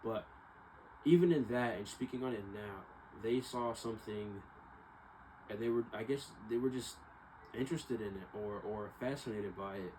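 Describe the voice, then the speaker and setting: A man's voice: on the low side, normal speed. A young man talking casually to viewers in a rambling, reflective monologue, hesitant and often circling back over his points. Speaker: vlogger. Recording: clear steady background noise.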